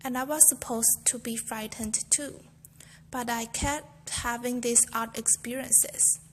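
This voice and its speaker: Young female student, careful earnest recitation, slightly high voice, light background noise. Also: steady tone, a little slow, fairly bright tone. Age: young adult. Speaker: student reciting a famous speech. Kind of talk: memorized speech recitation.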